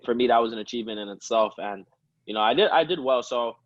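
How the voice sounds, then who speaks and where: A man's voice: mid-range voice, fast, no room sound, highs cut off. A man in his early twenties, a guest chatting casually and rambling with frequent laughs. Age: 20s. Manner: relaxed, rambling, laughs often. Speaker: guest, professional soccer player. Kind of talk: casual interview chat.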